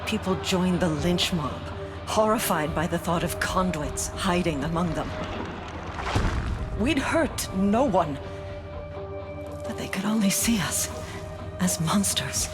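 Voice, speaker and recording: Low-voiced, steely military woman. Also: animated. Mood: grave. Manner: measured, grave, deliberate pauses. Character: steely, calculating female military commander. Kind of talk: dramatic first-person game cutscene narration. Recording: music playing under the voice.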